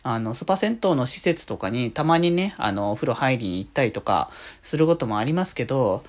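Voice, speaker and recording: A man talks casually as a solo podcast host. His mid-range voice swings up and down in a lively way, and he rambles along conversationally with frequent asides. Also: medium pitch, brisk. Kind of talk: casual solo radio-style talk.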